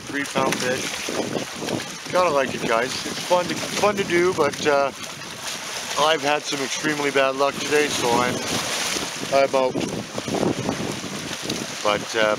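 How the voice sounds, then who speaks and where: A man's voice: on the high side, a little slow, long pauses. Man, fishing vlogger, upbeat, chatty, outdoors on rocky shore, heavy wind noise. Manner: chatty, upbeat, many fillers. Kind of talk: casual chat to camera. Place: rocky lakeshore outdoors, windy. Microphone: camera mic in strong wind. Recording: loud wind and waves on mic.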